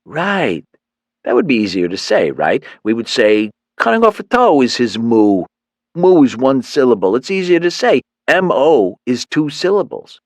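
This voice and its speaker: A man's voice: medium pitch, big pitch swings, a little slow, no room sound, studio quality, pitched above the performer's own voice. A man voicing a swaggering, toothpick-chewing male thug leader who is dim but menacing, in a slangy, cocky manner. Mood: puzzling.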